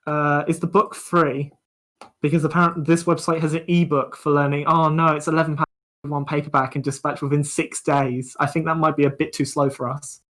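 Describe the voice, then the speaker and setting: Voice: medium pitch, little pitch movement, fairly quick, fairly bright tone. A livestream co-host chatting casually in a chirpy, enthusiastic way. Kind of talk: casual chat.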